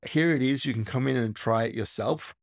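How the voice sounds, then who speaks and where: Gravelly-voiced man explaining casually. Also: medium pitch, fairly quick, dry recording, studio quality. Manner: relaxed, thinking aloud with restarts. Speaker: tech tutorial host. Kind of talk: casual screen-recorded walkthrough.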